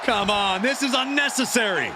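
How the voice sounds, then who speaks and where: An actor playing an opinionated color commentator in a wrestling video game. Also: male voice, high voice, animated, normal speed. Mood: alarmed, indignant. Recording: noisy arena with crowd.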